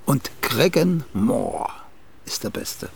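An actor playing a vain, condescending older playwright in a radio comedy, with a gravelly, husky voice and a drawn-out, self-important manner. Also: male voice, medium pitch, animated, exaggerated voice. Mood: pompous, condescending. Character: vain, aging celebrated playwright, condescending and touchy. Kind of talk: literary comedy radio play acting.

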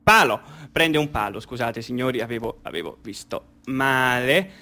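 A man playing an excitable, partisan Argentine football commentator in a radio play, calling a match with dramatic build-ups. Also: medium pitch. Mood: subdued, wry. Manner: dramatic, building to drawn-out excited goal calls.

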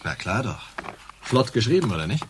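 Chatty police sidekick, low voice with animated intonation, colloquial, radio play with faint noise. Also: male voice, normal speed. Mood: brisk, cheerful. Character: male police assistant, eager, chatty, matter-of-fact. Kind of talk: naturalistic German radio crime drama acting.

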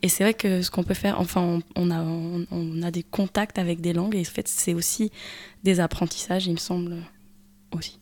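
A woman's voice: lowish voice, steady tone, moderate pace, a little mellow. A woman in a casual radio discussion, talking in a thoughtful, rambling way and often correcting herself. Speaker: radio show panelist.

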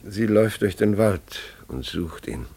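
An actor playing a grave, bewildered family father who tells his story haltingly and deliberately in a German radio play. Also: lowish voice, expressive, gravelly, dark tone, husky, heavily acted. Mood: somber.

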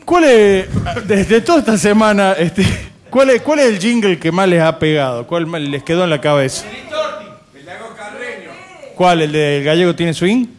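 An Argentine man with a high-pitched voice co-hosts a casual comic radio chat. He is mocking and playful in the way he tells things. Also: expressive, normal speed, long pauses. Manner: joking, mocking. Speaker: radio show host. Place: bar, live radio broadcast.

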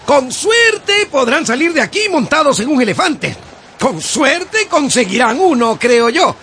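An actor playing a deferential local man advising travelers in a radio drama, hesitant and drawn-out. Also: very high-pitched, very animated, bright, forceful tone, a little hiss or hum, full character voice. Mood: deferential, apologetic. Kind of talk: Spanish-language radio drama acting.

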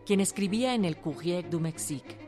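Lower-voiced female narrator. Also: animated, normal speed. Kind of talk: scripted documentary-drama narration. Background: faint music.

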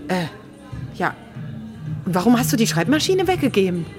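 A woman's voice: mid-range voice, big pitch swings, normal speed, over music, lightly acted. An actress playing an insistent, teasing woman in a naturalistic German radio drama, slipping into English quotations. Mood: curious, probing. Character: insistent woman hunting a writer's lost typewriter.

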